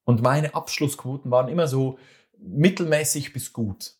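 A man with a Swiss German accent speaks in an earnest, motivational way, addressing the listener directly. He restarts and repeats phrases as he talks, and his pitch sits in the middle range for a man. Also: normal speed. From Switzerland. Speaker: speaker giving communication advice. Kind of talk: motivational monologue.

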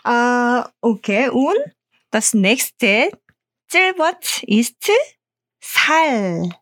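Woman, native Korean speaker, Korean accent, language teacher, halting German, conversational, close dry sound. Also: fairly high pitch, very animated, fairly bright tone, dry recording.

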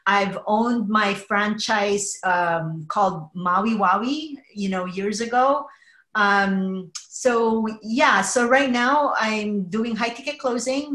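A middle-aged woman from the Philippines, a podcast guest talking in an earnest, measured way with frequent fillers. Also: mid-range voice, fairly bright tone, echoey room. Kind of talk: casual interview conversation.

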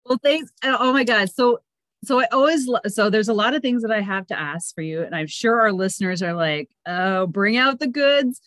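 A Canadian woman hosting a podcast, chatting casually and excitably as she interviews a guest. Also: mid-range voice, a little fast, no room sound. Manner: excitable, enthusiastic.

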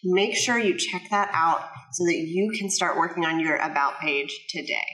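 A woman's voice: lowish voice, moderate pace, sharp tone, echoey room, low-quality recording. A woman hosting a podcast, reading a friendly scripted intro and asking questions, recorded in her new home office. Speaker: podcast host.

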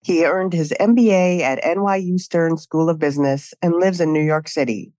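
Low-voiced woman podcast host. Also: darkish tone, no room sound. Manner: measured, reads guest introduction. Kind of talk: scripted intro and interview questions.

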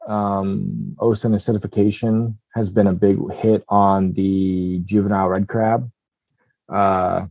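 Mellow-voiced Alaskan fisherman, rambling. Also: on the low side, no room sound, muffled recording. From Southeast Alaska. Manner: relaxed, rambling, many ums and restarts. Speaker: guest, commercial fisherman. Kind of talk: casual podcast conversation.

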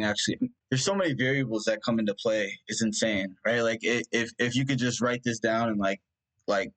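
A young man from India, Punjabi his first language, talks as a guest in a rambling, searching way, leaning on "like" and starting over often. His voice sits a little low. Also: a bit bright, poor audio. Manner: rambling, full of "like" and restarts. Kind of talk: reflective personal conversation.

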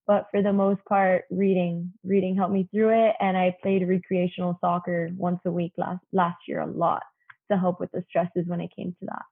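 A woman's voice: lowish voice, flat delivery, normal speed, gravelly, breathy edge. A woman in her early twenties with a young-sounding voice, chatting as an interview guest with lots of "you know" fillers. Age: 20s. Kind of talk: casual interview talk. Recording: tinny phone-like line.

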